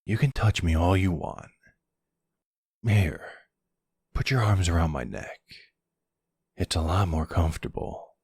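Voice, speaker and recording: A man playing a flirty, smug vampire in an intimate ASMR roleplay, taunting and seductive, with a low, gravelly, husky voice. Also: animated, a little mellow, dry recording, clean studio sound, exaggerated voice. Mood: flirty, amused. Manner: slow, taunting, seductive drawl. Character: flirty, smug male vampire, predatory and teasing.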